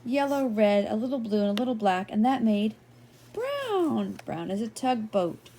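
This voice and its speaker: An older woman reading a children's picture book expressively, gently and playfully, drawing out key words and slipping in asides and questions to young listeners. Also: a little high, sing-song, a little slow, crowd noise, poor audio. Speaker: read-aloud storyteller.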